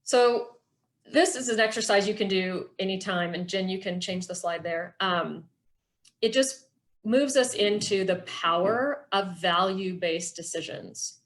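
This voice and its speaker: A middle-aged woman presenting a workshop with personal reflection, explaining things in an earnest, measured way. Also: mid-range voice.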